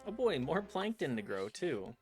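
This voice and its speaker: Lively, chatty game streamer. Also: mid-range voice, moderate pace, soft background music. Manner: chatty, self-deprecating, talks to the game. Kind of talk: casual gameplay commentary.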